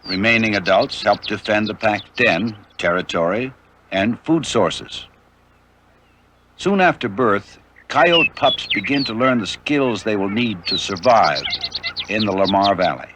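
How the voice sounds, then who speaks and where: A man narrating an educational nature documentary in a measured, informative way. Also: fairly low pitch, noisy background. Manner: measured, even, informative delivery. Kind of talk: scripted narration.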